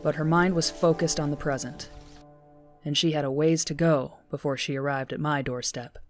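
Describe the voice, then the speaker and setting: Male actor as higher-pitched storytelling narrator. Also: steady tone, normal speed, faint music behind, close to an everyday voice. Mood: measured, portentous. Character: storytelling male narrator who is a character in the tale. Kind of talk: scripted dramatic narration.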